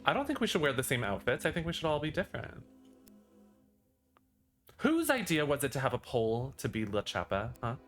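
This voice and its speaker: A man's voice: mid-range voice, big pitch swings, brisk, pauses often, a bit bright. A man streaming a game, chatting casually with friends over music. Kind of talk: casual group gaming chat. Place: voice over gameplay with music behind. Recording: clear music behind the voice.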